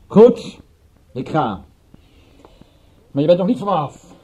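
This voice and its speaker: A man's voice: a little high, big pitch swings, slow, mellow, slightly rough, heavily acted. A man acting in a radio play as an officious, scornful police investigator who sounds stern, sarcastic and hectoring. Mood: indignant, scolding.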